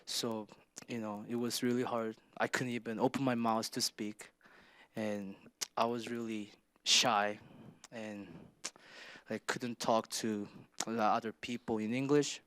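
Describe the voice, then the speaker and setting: Teen boy from south korea, flat even voice, clean and dry. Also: on the low side, measured pace, clear, resonant tone, no echo. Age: teenager. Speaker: student speaker. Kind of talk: personal testimony.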